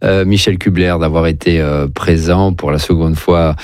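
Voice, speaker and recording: A man hosting an evening radio interview, polite and quick as he thanks his guest. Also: low-pitched, fairly even intonation, a little mellow, no room sound, studio quality. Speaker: radio host.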